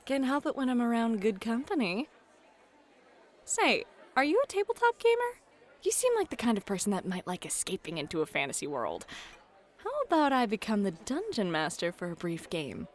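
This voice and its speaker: Woman acting as a friendly flirty club hostess slash dungeon master, higher voice, really lively pitch, asmr roleplay. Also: normal speed. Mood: playful, friendly. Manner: playful, chatty, expressive. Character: friendly, flirty club hostess who runs tabletop games.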